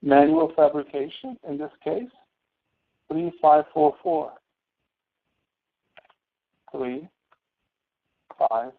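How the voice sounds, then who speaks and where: A man teaching step by step as an instructor in a screen-share tutorial, halting and checking with questions. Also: mid-range voice, creaky. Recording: tinny phone-like online meeting audio.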